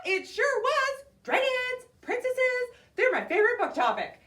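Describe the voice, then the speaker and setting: A woman performs a giddy little puppet boy in a children's show. The voice is high and leaps around eagerly, heard a little far from the mic in a roomy, echoing space. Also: huge pitch swings, moderate pace, bright, forceful tone, exaggerated voice. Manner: giddy, gushing. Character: excitable childlike puppet boy, wildly eager about dragons. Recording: roomy echo, distant microphone.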